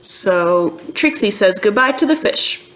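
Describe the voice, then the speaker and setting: Female teacher for a picture-book read-aloud: unhurried, deliberate, expressive, checks in with young listeners. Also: mid-range voice, poor audio. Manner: slow, deliberate storytelling with questions to young listeners.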